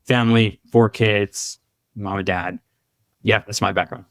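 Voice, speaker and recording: American man, somewhat low pitch, casual guest chat, dry close sound. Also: fairly even intonation, unhurried, dry recording. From Southern California. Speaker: podcast guest.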